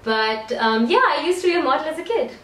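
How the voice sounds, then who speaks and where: Young indian woman vlogging from her room, kinda high voice, echoey, sounds a bit away from the mic. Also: lively intonation, fairly quick, fairly bright tone, recorded from a distance, a little hiss or hum. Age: young adult. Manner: chatty, rambling, self-deprecating. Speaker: beauty YouTuber. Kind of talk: casual chat to camera. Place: room at home. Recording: roomy echo, voice a bit off-mic.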